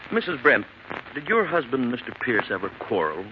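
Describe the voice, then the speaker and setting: A man's voice: medium pitch, very animated, moderate pace, close to an everyday voice. An American man acting a probing, controlled district attorney's investigator in a 1940s radio mystery. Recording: muffled, noisy 1940s radio broadcast.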